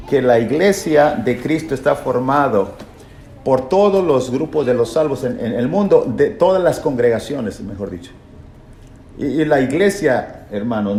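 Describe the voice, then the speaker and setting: A man's voice: medium pitch, dark tone. Echoey male preacher teaching emphatically. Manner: didactic, emphatic, repeats key phrases. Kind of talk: Bible lesson sermon with rhetorical questions. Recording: echoey room, faint background noise.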